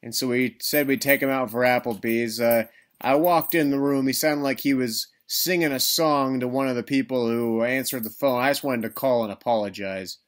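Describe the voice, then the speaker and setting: A man's voice: medium pitch, fairly even intonation, moderate pace. A man making a prank phone call, posing as an apologetic parent and talking earnestly.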